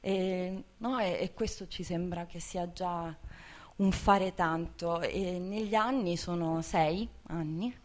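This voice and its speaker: A woman's voice: fairly low pitch, slow. A woman talking informally to an audience, reflective and hesitant, repeating words as she searches for them. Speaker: bookseller, co-founder of a women's bookshop.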